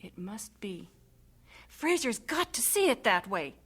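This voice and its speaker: An actress playing a resolute, devout missionary wife with wry humour in an old-time radio drama. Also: female voice, mid-range voice, big pitch swings, unhurried. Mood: eager, decisive.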